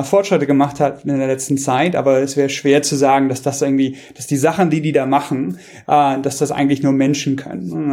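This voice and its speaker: A man's voice: mid-range voice, a little mellow. A man, a researcher, talking casually as a podcast guest, hesitant, with many fillers and restarts. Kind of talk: casual interview talk.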